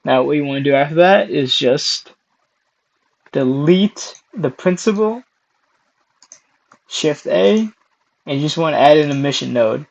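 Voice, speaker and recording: A young man hosting a casual screen-recorded software tutorial, hoarse and losing his voice, clearing his throat often between steps. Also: on the high side, lively intonation, a little slow, pauses often, rough recording. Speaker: tutorial host.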